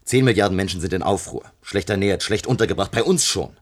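An actor playing a brusque, impatient army colonel in a German radio play, speaking emphatically and curtly. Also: male voice, sits low, quick pace. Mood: agitated, alarmed. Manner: emphatic, curt, swearing, commanding.

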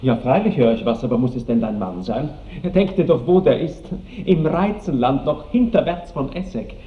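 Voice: medium pitch, expressive, normal speed, lightly acted. An actor playing an ardent, sulky seventeen-year-old count in a radio play, impetuous and petulant in a theatrical way. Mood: petulant, insistent. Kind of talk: mid-century German radio play acting. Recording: muffled, hissy old radio recording, distant, roomy.

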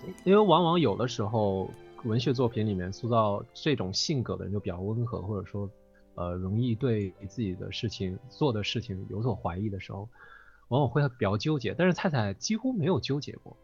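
Guy with a lowish voice explaining stuff kinda haltingly, dull audio, casual chat. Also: animated, a little mellow. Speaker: interview guest, voice drama performer. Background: faint music.

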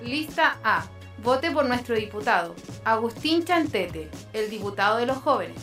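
A woman's voice: on the high side, sing-song, a little slow. A woman playing a promotional announcer in a political campaign spot. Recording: distant, music behind.

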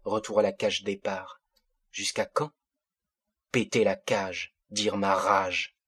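A man's voice: deep voice, little pitch movement, slow, fairly bright tone, breathy edge, heavily acted. A man reading an audiobook as an angry fifteen-year-old boy from the housing projects, speaking bitter street slang in agitated bursts. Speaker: audiobook reader. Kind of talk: first-person audiobook monologue.

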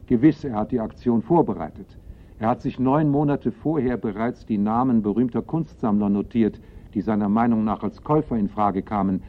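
A man's voice: lowish voice, normal speed, close to an everyday voice. A man narrating a criminal case in a radio play, in a sober, formal, factual manner. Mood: sober, summing up. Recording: muffled, narrow-band old radio recording.

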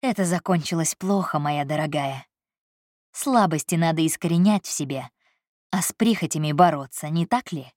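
A woman's voice: low voice, normal speed, a little mellow, no echo, clean studio sound, natural voice. A woman voicing a domineering, hot-tempered wealthy employer who speaks curtly and coldly. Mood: menacing. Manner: curt, commanding, cold.

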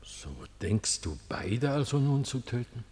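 A man's voice: mid-range voice, lively intonation, a little slow, a little mellow, in a large hall, natural voice. An actor playing a wary elder questioning a king in a radio play.

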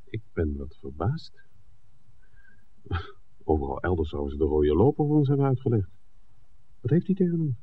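Deep, husky, swooping wry screenwriter. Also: male voice, sing-song, normal speed, pauses often, full character voice. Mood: puzzled, genial. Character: wry, teasing male screenwriter, good-humored companion. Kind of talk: 1960s Dutch radio drama acting. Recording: muffled, narrow old radio recording.

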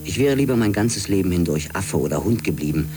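An actor playing a banished man who tells his tale in solemn, measured, literary style in a radio play. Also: sits low, expressive, music in the background. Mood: sorrowful.

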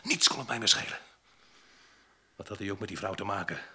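An actor in a radio drama playing a rough, haunted man with a husky, gravelly voice and a halting, fragmented way of talking. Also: lowish voice, pushed and bright, exaggerated voice. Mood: agitated, brooding. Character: rough, haunted man confessing a violent past. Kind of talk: Dutch radio drama acting.

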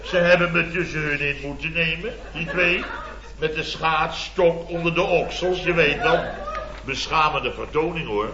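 A man's voice: fairly high pitch, moderate pace, a crowd in the background, natural voice. A Dutch man acting a blustering, self-important building-firm boss in a radio comedy, rambling indignantly. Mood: indignant storytelling. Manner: indignant, rambling storytelling with tag words. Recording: dull, echoey vintage radio recording with audience.

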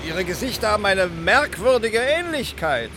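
High-pitched, dutiful male subordinate. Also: big pitch swings, normal speed, background noise. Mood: curious. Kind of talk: German radio drama acting.

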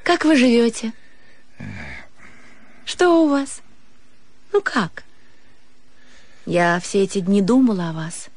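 A young gentlewoman in a literary radio drama, eager and a little anxious. She speaks slowly and hesitantly, with feeling, in a dry studio sound. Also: on the high side, sing-song, dry recording, heavily acted. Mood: anxious, yearning. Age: young adult. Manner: earnest, hesitant, emotional. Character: nervous, yearning young gentlewoman, earnest and eager.

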